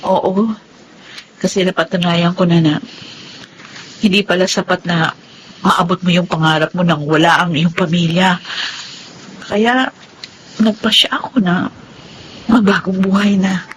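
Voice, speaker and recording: A woman's voice: lowish voice, fairly even intonation, measured pace, long pauses, slight background noise, close to an everyday voice, rough recording. A Filipino woman acting a remorseful young woman in a Tagalog radio drama, earnest and emotional. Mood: regretful.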